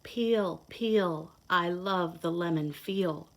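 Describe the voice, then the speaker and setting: Slow-paced woman reading a picture book aloud. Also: fairly low pitch, slight background noise. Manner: playful, expressive, sing-song rhyme reading; simple child-directed explaining. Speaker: children's read-aloud host, teacher. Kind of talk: picture book read-aloud with asides to children.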